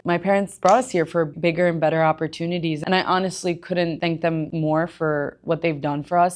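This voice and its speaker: A young woman from Egypt, a gym owner and personal trainer, candidly telling her life story in a conversational vlog with emphatic asides. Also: low voice. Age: young adult.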